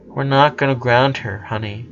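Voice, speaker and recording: A man reading the part of a gentle, caring mother who soothes her young son in a storybook. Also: mid-range voice, steady tone, moderate pace, dull audio, lightly acted. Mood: gently reassuring.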